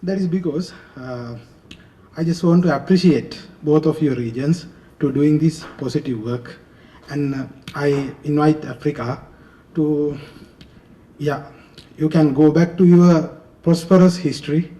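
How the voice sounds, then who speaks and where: A man's voice: a little high, a little slow, dark tone, rough recording. A man from Sri Lanka making spontaneous, hesitant remarks from the audience at an event. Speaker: audience speaker.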